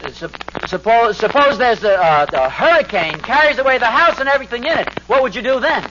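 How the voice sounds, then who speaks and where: High male voice, animated intonation, self-important blustering suburban husband, American, old radio comedy, muffled noisy recording. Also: a little fast. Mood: flustered, evasive. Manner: pompous, blustering, stammering when cornered. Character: evasive, self-important suburban husband, pompous about civic duty. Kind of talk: old-time radio sitcom acting.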